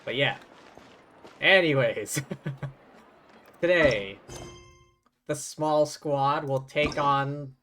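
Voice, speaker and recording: Livestream gamer: slow, casual, easygoing, slightly hesitant, music underneath. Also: medium pitch, fairly bright tone. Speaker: streamer, host. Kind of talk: casual livestream chat while playing a game. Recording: music playing behind the voice. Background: game music.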